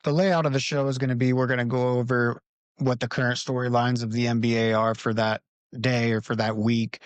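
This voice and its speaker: A man hosting a casual basketball podcast, talking in a relaxed, conversational way and leading the discussion. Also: mid-range voice, dry recording. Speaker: podcast host. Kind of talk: casual sports podcast talk. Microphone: home recording setup.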